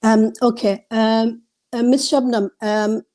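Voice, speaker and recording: A woman's voice: a little high, little pitch movement, slow pace, warm tone. A woman in her forties, a doctor and activist who first spoke Burmese, answering earnestly on a video call from her home through earphones, with many ums and restarts. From Myanmar (Rohingya), raised abroad. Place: room at home, indoors. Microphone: wired earphone headset on a video call.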